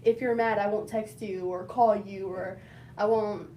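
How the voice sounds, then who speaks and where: A woman in her twenties, soft-spoken and giggly, speaking as a guest on a panel about relationships. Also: mid-range voice, fairly even intonation, unhurried. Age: 20s. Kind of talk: casual panel talk. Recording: mic at a distance, faint noise.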